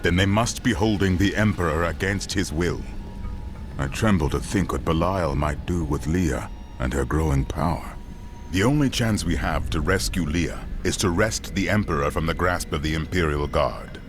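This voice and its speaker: A grave, wise counselor voices his worry, as an actor plays him. His voice is low and weighty. Music plays beneath. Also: normal speed, lightly acted. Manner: grave, worried. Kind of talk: video game cutscene acting.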